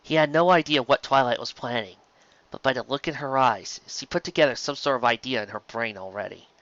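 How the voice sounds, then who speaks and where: A man's voice: mid-range voice, a little fast, a bit bright, dry recording, muffled recording. A man reading a scripted fantasy story aloud as its narrator. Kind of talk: scripted narration of fan fiction.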